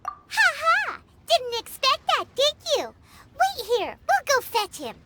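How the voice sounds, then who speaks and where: A woman voicing a tiny, excitable companion girl in a game, with a squeaky childlike voice and a blunt, boastful manner. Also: heavy background noise, heavily acted. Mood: excited. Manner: blunt, quick to boast and scold. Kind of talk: game voice acting. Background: loud game music.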